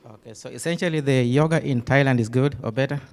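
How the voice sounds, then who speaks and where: A man with a Kenyan accent asks a question from the audience at a conference. He speaks politely and in a measured way, at an ordinary pitch. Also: a little mellow. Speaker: audience member, graduate student.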